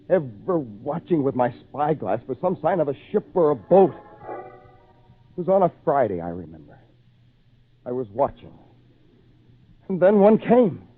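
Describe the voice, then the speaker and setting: A man plays a lonely castaway in an old-time radio play, earnest and excitable. His delivery is theatrical and swings widely in pitch, with deliberate phrasing. It comes through a tinny, noisy recording. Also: natural voice. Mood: alarmed, urgent. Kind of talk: old time radio drama narration and acting.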